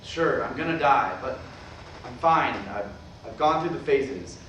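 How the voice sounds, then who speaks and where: A man acts as a dying former professor in a stage drama. He is dry, defensive and quick to argue with his brother. The recording is very echoey and distant from the microphone. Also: medium pitch, expressive, a bit bright. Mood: earnest. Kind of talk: staged play reading. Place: staged reading filmed in a roomy venue. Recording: echoey room, far mic, noisy.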